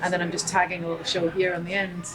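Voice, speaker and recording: Scottish woman musician, animated and laughing, with a noisy crowd behind. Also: lowish voice. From Scotland (St Andrews). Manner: chatty and animated, quick to laugh. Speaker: musician guest. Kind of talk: casual chat. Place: busy public spot in Los Angeles. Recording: loud crowd chatter behind.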